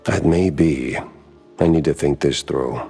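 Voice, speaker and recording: A man's voice: rumbling low, measured pace, dark tone, slightly rough, exaggerated voice. A man voicing a wry, world-weary mouse private detective in a noir video game, with a hard-boiled delivery. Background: music.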